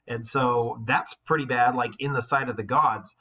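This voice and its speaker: A man's voice: medium pitch, steady tone, moderate pace, bright, forceful tone. A man working as a teacher, reading a story aloud like a storybook and explaining it in casual, conversational asides.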